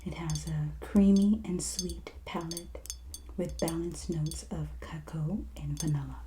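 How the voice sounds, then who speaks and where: Slow, breathy, soft-spoken ASMR woman. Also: deep voice, fairly even intonation, a little hiss or hum. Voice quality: soft, breathy, often near-whispered. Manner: slow, gentle, unhurried presenting. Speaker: ASMR host playing a wine and spirits merchant. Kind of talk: soft-spoken ASMR roleplay, product showcase.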